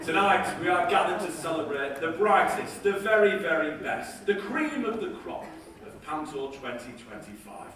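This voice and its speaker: A man hosting an awards ceremony on a theatre stage, a lively showman rousing the audience. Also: a little high, moderate pace, slight background noise. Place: large theatre auditorium. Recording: echoey hall heard from a distance, loud crowd.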